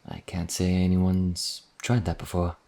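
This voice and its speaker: A man's voice: monotone, unhurried, dark tone. A man playing a modest, earnest vampire wanderer in a fantasy audio roleplay, explaining things in a hesitant, conversational way. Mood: wry, plain. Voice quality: deep, husky.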